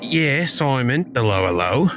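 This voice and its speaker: A man's voice: medium pitch, very animated, measured pace, a bit bright, no room sound. A man playing a tabletop roleplaying game, reacting with exaggerated disbelief. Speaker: player. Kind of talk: casual roleplay banter.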